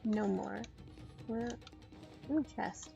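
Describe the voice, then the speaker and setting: A woman's voice: medium pitch, extremely slow, gravelly, warm tone, highs cut off, faint music behind. A woman giving casual, offhand live commentary on a game she is playing, close to the mic. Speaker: game streamer.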